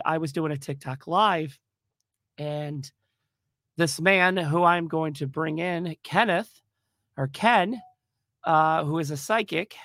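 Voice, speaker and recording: Hoarse slow-talking man host. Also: fairly high pitch, pushed and bright, no room sound, clean studio sound. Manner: rambling, many fillers and restarts. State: hoarse from a cold. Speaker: podcast host. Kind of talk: casual livestream talk.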